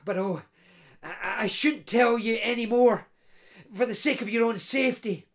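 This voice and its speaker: A storyteller voicing a sorrowful young bride trapped in a fairy underworld, speaking softly and hesitantly with repeated words. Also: medium pitch, moderate pace, natural voice.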